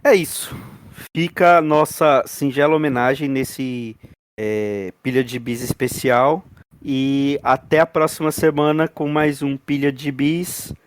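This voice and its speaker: A man's voice: medium pitch, fairly even intonation, unhurried, no room sound. A man with a Northeastern Brazilian accent hosting a podcast in a casual, colloquial way with regional slang. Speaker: podcast host. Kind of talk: casual chat.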